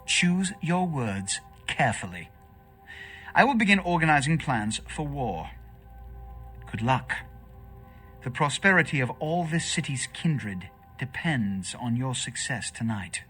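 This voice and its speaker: Imperious vampire prince, bright, animated voice. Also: male voice, mid-range voice, unhurried, slightly rough, soft background music, heavily acted. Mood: urgent, commanding. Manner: pompous, formal, imperious. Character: haughty, scheming vampire prince ruling a city. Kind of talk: theatrical video game voice acting.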